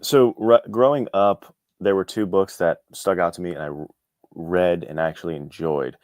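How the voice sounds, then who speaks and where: A man's voice: low voice, normal speed, darkish tone, no echo, clean studio sound. A man talking casually as an interview guest, easygoing and a little self-deprecating. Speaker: guest, indie author and podcast co-host. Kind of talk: casual chat.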